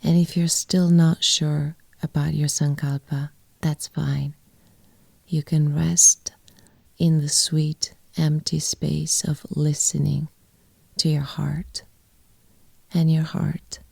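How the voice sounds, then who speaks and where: A woman guiding a yoga nidra meditation in a soft, breathy, often near-whispered voice, with gentle phrases and long pauses. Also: sits low, little pitch movement, no room sound. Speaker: yoga nidra teacher and guide. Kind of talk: guided meditation, soft and soothing.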